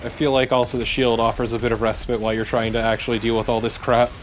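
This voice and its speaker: A man's voice: medium pitch, level tone, fast talker, a little hiss or hum, rough recording. A man giving wry, casual co-commentary on a video game let's play.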